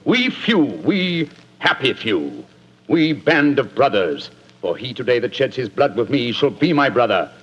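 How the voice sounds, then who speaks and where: A man's voice: mid-range voice, sing-song, unhurried, fairly bright tone, husky, full character voice. An actor playing a stirring English warrior king, declaiming in theatrical Shakespearean style with an English accent. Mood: stirring, exalted. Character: stirring English warrior king rallying his outnumbered men. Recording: dull old radio broadcast with music.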